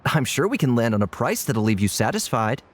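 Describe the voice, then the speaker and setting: A man's voice: medium pitch, very animated, a little fast. A man voicing an obsequious, polished guild merchant in a fantasy game, effusive, courteous and theatrical. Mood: gushing. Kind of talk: scripted game dialogue.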